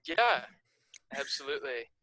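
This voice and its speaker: Young chicago guy rambling on a podcast, bright voice, dry close sound. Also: mid-range voice, measured pace, creaky, no room sound, low-quality recording. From Chicago area, USA. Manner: relaxed, rambling, many fillers and restarts. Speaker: podcast guest, writer. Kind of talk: casual interview chat.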